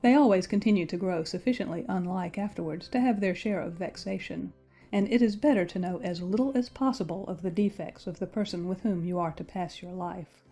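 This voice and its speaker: A woman playing a pragmatic, worldly young gentlewoman in an audiobook drama, advising in measured, matter-of-fact period diction. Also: fairly low pitch, slightly rough, soft background music. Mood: frank, resigned.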